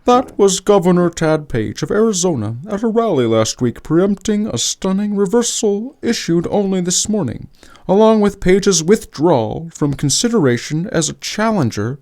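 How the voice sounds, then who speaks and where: Actor as higher-voiced news anchor. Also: male voice, animated, normal speed, a little mellow. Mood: measured. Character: measured male American news anchor. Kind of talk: scripted newscast in audio drama.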